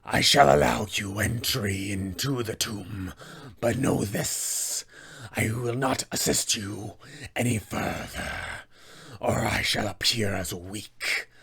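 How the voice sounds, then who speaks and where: A man voicing a cold, menacing serpent-man warlord, a fallen paladin, hushed and deliberate, for a fantasy tabletop game. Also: on the low side, gravelly, breathy edge, full character voice. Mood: grave, imperious. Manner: slow, deliberate, imperious.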